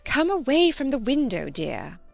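A woman's voice: high voice, big pitch swings, measured pace, gravelly, no echo, faint music behind, pitched above the performer's own voice, exaggerated voice. A woman voicing a prim, moralizing older spinster cousin, emphatic and plaintive, in an audiobook. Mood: brisk, prim. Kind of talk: audiobook character acting.

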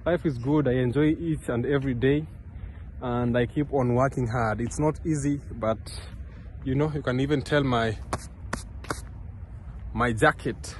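A man's voice: medium pitch, fairly even intonation, unhurried, pauses often, a little hiss or hum. Earnest young man vlogging outdoors. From Kenya. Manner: earnest, rambling, with many restarts and fillers. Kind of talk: casual vlog talk to camera. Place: outdoors on a park path beside a road. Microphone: phone camera held close.

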